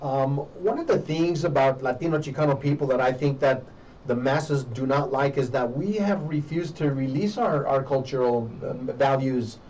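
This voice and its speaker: Middle-aged male interviewer, American, earnest conversational commentary with mid-phrase pauses, dull sound and faint background noise. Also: medium pitch, darkish tone. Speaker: interview show host. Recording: muffled, distant mic with faint noise.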